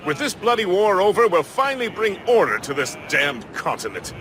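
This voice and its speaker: Hearty, high-pitched talkative seaman. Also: big pitch swings, normal speed. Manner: colourful, hearty, chatty. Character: jovial, talkative seafaring male first mate. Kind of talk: video game cutscene acting. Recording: clear outdoor ambient noise.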